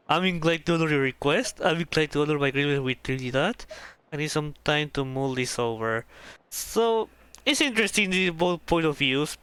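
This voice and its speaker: A man's voice: on the high side, pushed and bright, clear, resonant tone, no room sound. A man streaming a game, reading dialogue aloud and thinking through choices in casual, hesitant commentary.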